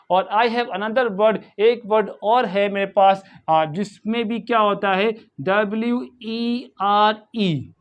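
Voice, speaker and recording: A man with an Indian accent teaching English pronunciation, spelling out words letter by letter and asking the viewer questions over and over. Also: high voice. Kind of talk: slow spoken lesson mixing Hindi and English.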